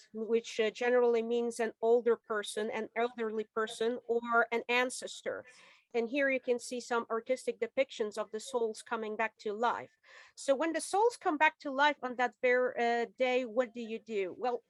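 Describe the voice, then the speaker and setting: A woman's voice: fairly high pitch, dry recording. A woman giving an informative slide presentation over a video call. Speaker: club member giving a presentation.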